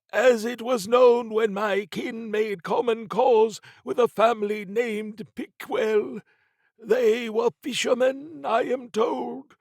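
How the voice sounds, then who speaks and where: A man voicing a sinister, chiding fish-blooded cultist in an audiobook, with a slow, drawn-out croak. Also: high-pitched, dry recording, studio quality, pitched above the performer's own voice, exaggerated voice. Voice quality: croaking, creaky.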